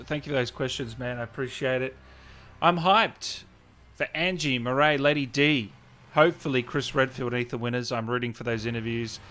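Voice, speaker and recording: A man's voice: mid-range voice, fairly bright tone. A man hosting a casual live stream, reading out comments and thanks in an enthusiastic, matey, informal way.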